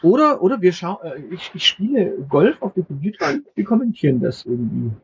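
A man co-hosting a casual podcast, talking in a wry, halting way with many fillers, and acting as game master for a short role-play game. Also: high voice, big pitch swings, vocal fry, muffled recording, a little hiss or hum.

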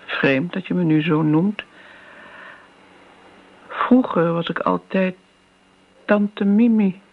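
Een actrice die in een hoorspel een oudere, keurige tante speelt, ontwijkend en sentimenteel, met een ingehouden stem. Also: female voice, fairly low pitch, slow pace. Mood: wistful. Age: older. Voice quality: hushed, creaky. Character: elderly genteel aunt, evasive, sentimental, prim. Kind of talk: radio play acting. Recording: muffled, noisy old radio play recording.

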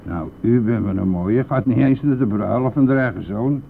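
An actor playing a cheeky, nosy, grumbling village bus driver in a radio play, with a teasing, blustering manner. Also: male voice, mid-range voice, normal speed, creaky, lightly acted. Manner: teasing, blustering, chuckling at own jokes. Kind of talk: Dutch radio play acting. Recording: tinny narrow-band old radio recording, vehicle noise.

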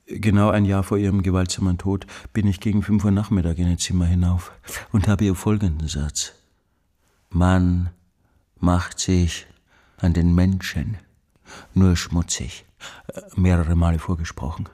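A man's voice: low-pitched, level tone, dark tone. An actor playing an obsessive, tyrannical man fanatically studying hearing in a radio monologue, with intense, emphatic delivery. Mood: matter-of-fact, insistent. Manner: intense, emphatic, drawn-out delivery of key words. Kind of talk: dramatic literary radio monologue.

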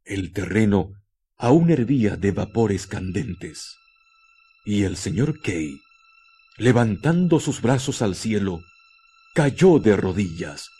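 Low, mellow male radio drama narrator. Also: sing-song, breathy edge. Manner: solemn, deliberate phrasing with dramatic pauses.

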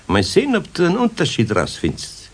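Older bavarian carpenter, homely and wry, super lively intonation, bit of noise on an old kids radio play. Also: male voice, on the high side, fairly quick. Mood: businesslike. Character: good-natured older male master carpenter, wryly strict. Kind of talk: 1970s German children's radio play acting.

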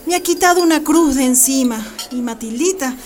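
A woman's voice: high voice, brisk, a little mellow, close to an everyday voice. A woman acting as a pious, anxious mother in a radio drama, deferential and plaintive. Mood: grateful, relieved. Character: grateful, pious, anxious mother of young girl.

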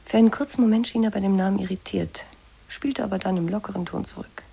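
Husky, mellow, probing interviewer. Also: female voice, mid-range voice, fast talker, muffled recording. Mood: persuasive. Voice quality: husky, soft. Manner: terse, probing. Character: probing, persistent female media interviewer, cool and pragmatic. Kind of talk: 1980s German radio drama acting.

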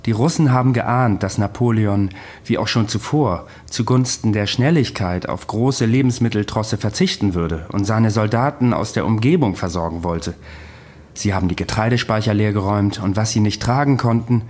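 An actor playing a world-weary, sceptical military adviser, speaking in a blunt, sober way in a radio drama. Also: male voice, fairly low pitch, normal speed, a little mellow, close to an everyday voice. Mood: sober recounting. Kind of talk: radio drama acting and narration.

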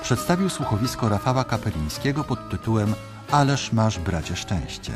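A male radio announcer reading the credits in a measured, formal way. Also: on the low side, very animated. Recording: radio broadcast with music underneath.

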